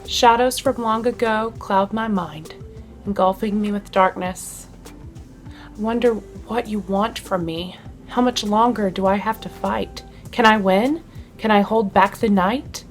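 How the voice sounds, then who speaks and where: A woman giving a dramatic poetry reading, deliberate, with pauses between short phrases. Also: mid-range voice, soft background music. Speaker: poetry reader.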